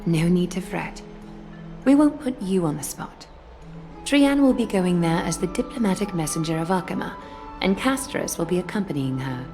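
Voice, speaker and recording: An actress playing a composed, regal noblewoman leader in a video game, speaking formally and in a measured, gracious way. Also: lowish voice, expressive, warm tone, faint music behind. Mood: formal, reassuring. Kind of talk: scripted video game dialogue.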